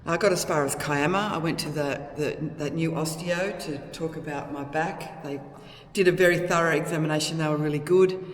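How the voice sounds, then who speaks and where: A woman's voice: low voice, a little fast. A middle-aged woman with an Australian accent chats candidly and casually in a rambling, conversational vlog style. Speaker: motorcycle vlogger. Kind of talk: casual vlog chat to camera. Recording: loud wind, engine and road noise.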